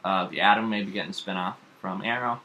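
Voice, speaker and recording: A man with a fairly low voice and a bright, forceful edge co-hosts an informal pop culture talk. He rambles through his opinions with emphasis. Some background noise and room ambience sit behind him. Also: quick pace, poor audio. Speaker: podcast co-host. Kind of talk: casual opinionated chat about pop culture.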